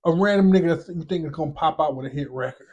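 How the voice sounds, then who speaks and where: A man's voice: on the high side, rapid-fire, darkish tone, highs cut off. A man in his late thirties hosting a podcast, chatting casually in slangy banter and often repeating his phrases. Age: 30s. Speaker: podcast host. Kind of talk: casual chat.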